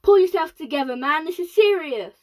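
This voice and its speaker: A woman voicing a bossy male ground controller who barks loud orders. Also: high-pitched, moderate pace, pitched above the performer's own voice. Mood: angry.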